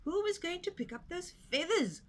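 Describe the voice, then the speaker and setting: A woman's voice: extremely high pitch, expressive, moderate pace, voice pushed up. A woman voicing a cranky old goat in a storybook, muttering and grumbling complaints.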